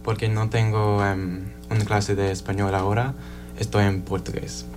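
A young man, an English speaker, introducing himself in halting learner Spanish with many pauses and fillers. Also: fairly low pitch, level tone, music in the background. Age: young adult. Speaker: student actor guest.

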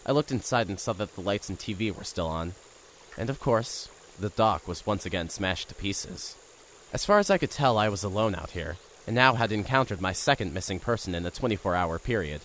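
A man's voice: on the low side, expressive, brisk, fairly bright tone, slight background noise. Man acting wry, awkward radio sleuth narrator. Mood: wry, storytelling. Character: awkward, wry male radio host turned investigator. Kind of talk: scripted first-person audio drama narration.

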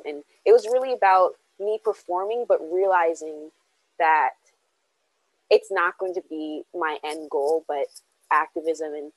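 A woman's voice: lowish voice, no room sound, low-quality recording. A young woman, a college freshman, answering interview questions earnestly, with frequent ums and restarts. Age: young adult. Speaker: interview guest.

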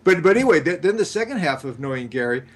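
An older man co-hosting a podcast, his voice slightly rough, telling folksy stories with fillers. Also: on the high side, very animated, quick pace. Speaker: copywriter co-host. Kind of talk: casual podcast chat.

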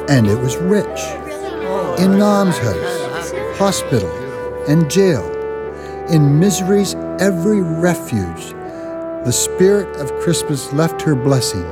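A man narrating a radio drama like a storybook, in a measured and solemn manner. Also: fairly high pitch. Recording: studio narration over loud music.

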